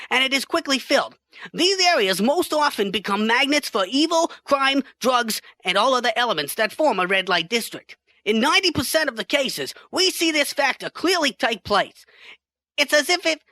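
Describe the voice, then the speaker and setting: A woman's voice: high-pitched, sharp tone, no echo, full character voice. A woman playing a long-winded male chief scientist explaining things earnestly in an amateur sci-fi radio play.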